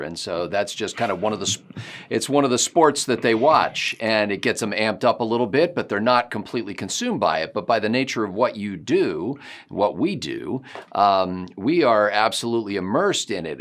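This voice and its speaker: A middle-aged man on a casual video call, explaining ideas in a reflective, measured way. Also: fairly low pitch. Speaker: co-host. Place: home room.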